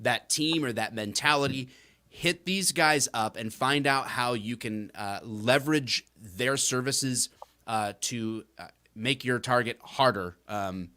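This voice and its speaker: A man's voice: mid-range voice, slow. A man hosting a podcast, joking around and emphatically plugging his guests in casual talk. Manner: energetic, joking, emphatic plugs. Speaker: podcast host.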